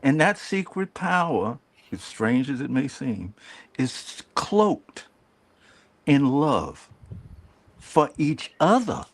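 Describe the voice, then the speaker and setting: Slow, lively-pitched man speaking like a preacher. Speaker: panelist. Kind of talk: casual group discussion.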